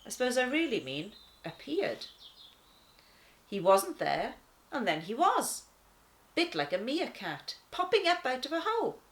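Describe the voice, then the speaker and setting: An easygoing, chatty lion as a woman storyteller plays it talks straight to the listener in a wry, laid-back way. The pace is unhurried and the pitch swings expressively, with pauses for dramatic effect in storybook style. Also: big pitch swings, full character voice. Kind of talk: first-person children's storybook narration.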